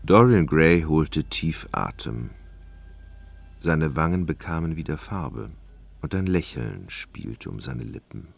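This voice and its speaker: A man narrating a literary radio drama from a script in a measured, dramatic storytelling manner. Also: rumbling low, big pitch swings, gravelly, slightly rough.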